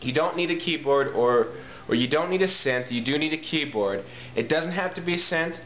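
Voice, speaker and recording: A man's voice: fairly high pitch, lively intonation, normal speed, fairly bright tone. A man presenting a casual hands-on how-to video in an informal way, addressing viewers as guys and repeating key points for emphasis. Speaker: tutorial presenter, talk box player. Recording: muffled, echoey, noisy amateur video sound.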